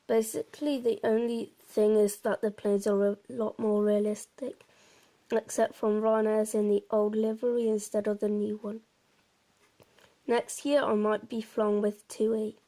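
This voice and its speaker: A woman's voice: medium pitch, dark tone. A woman giving a casual gameplay commentary, explaining things in an informal, meandering and slightly hesitant way. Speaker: presenter of a game walkthrough.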